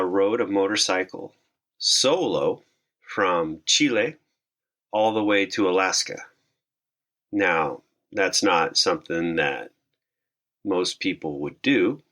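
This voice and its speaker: A very low-pitched man hosts a travel interview. He talks slowly with a bright tone and winds through long, reflective questions. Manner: slow, reflective, rambling questions. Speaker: podcast host.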